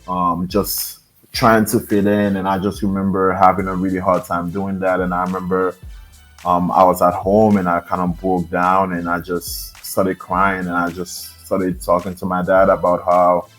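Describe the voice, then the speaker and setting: Low-voiced Haitian man, soft and hesitant. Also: completely flat delivery, moderate pace, faint music behind. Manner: soft-spoken, hesitant with many fillers. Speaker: podcast guest. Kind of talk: interview, personal storytelling.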